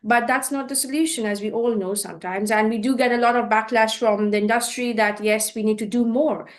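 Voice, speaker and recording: A woman's voice: fairly high pitch, steady tone, brisk. A woman hosting an interview, posing long questions politely with many fillers. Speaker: host.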